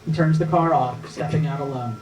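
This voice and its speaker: A man's voice: on the high side, brisk, darkish tone. A man narrating stage directions and scene headings at a screenplay table read. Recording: echoey room with clear background noise.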